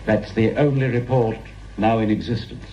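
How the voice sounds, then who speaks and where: An actor playing an evasive senior police boss in a radio drama, curt and authoritative. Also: male voice, fairly low pitch, fairly even intonation, normal speed, dark tone, lightly acted. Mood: curt, pressing. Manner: curt, authoritative, smooth-talking. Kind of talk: broadcast radio drama acting. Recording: dull sound with faint noise.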